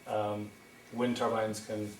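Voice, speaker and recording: A man's voice: fairly low pitch, little pitch movement, takes it slowly, slight background noise. A middle-aged man with an Irish accent from County Wexford, giving a hesitant public presentation at a town meeting, full of ums and restarts. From Ireland. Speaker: wind energy developer presenting at a town meeting. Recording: echoey hall recorded from far off.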